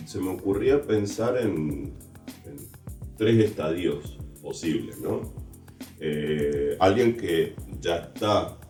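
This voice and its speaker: A man's voice: deep voice, warm tone. An Argentine man interviewing in a thoughtful, tentative conversational way. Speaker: interviewer, podcast host. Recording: roomy, distant mic, music behind. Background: music.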